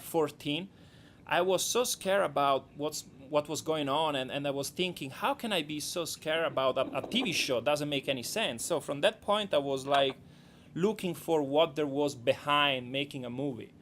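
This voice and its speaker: A man from Italy with a strong Italian accent, a documentary director speaking as a guest on a radio talk show, halting and repeating words as he goes. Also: on the high side, a bit bright. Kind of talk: radio interview over the phone. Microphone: phone line.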